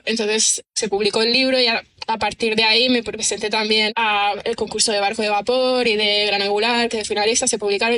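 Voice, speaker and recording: A woman's voice: on the high side, sharp tone. A woman, a writer chatting as an interview guest, rambling with many fillers. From Almería, Spain. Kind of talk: casual interview chat.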